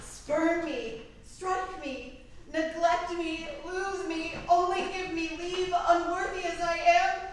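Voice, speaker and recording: A woman's voice: very high-pitched, steady tone, slow pace. Woman acting a lovelorn young woman, echoey stage. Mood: pleading, adoring. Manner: earnest, theatrical. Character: lovelorn young woman, devoted, pleading, stubbornly pursuing. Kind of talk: staged reading of Shakespearean verse. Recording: echoey room from far off, faint noise.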